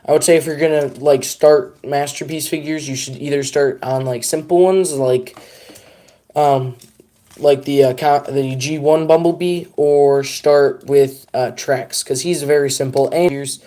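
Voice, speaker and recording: A man's voice: mid-range voice, mellow. A young man chatting casually on a live stream, rambling and self-deprecating as he talks to his viewers.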